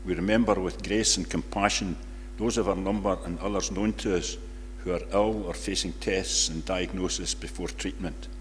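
An older man reads a public prayer in solemn, measured phrases in a church. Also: low-pitched, fairly even intonation. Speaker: congregation member leading prayers. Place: large church, at a lectern. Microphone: lectern microphone. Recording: reverberant church hall, faint background noise.

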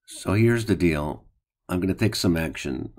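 An older man hosting his stock trading channel, talking through a screen-share in a plain, unhurried way with some restarts. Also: low voice, expressive, a little mellow. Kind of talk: casual screen-share commentary. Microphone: voice-over on screen recording.

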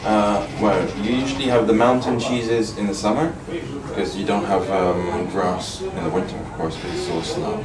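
Low-voiced man explaining cheeses in a busy shop. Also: fairly even intonation, normal speed, few pauses. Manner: plain, matter-of-fact explaining with hesitations. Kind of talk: explaining products in an interview. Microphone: camera mic from a distance. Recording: echoey shop recorded from far off with loud crowd.

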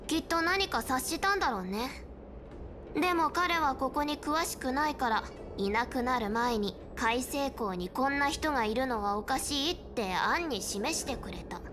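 A woman voicing a blunt, teasing, sharp-witted young girl in a Japanese video game. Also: high-pitched, expressive, normal speed, over music. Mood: suspicious.

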